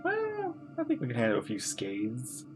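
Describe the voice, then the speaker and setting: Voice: mid-range voice, huge pitch swings, normal speed. A streamer giving casual, laid-back and wry commentary while playing a game. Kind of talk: casual gameplay commentary and reading along. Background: game music.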